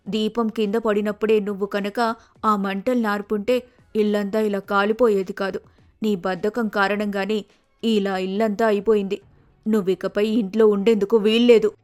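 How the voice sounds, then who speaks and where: A woman's voice: mid-range voice, flat delivery, moderate pace, a little mellow, faint music behind, natural voice. A woman voicing a stern, scolding older sparrow mother-in-law in a cartoon. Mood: angry. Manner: scolding, firm.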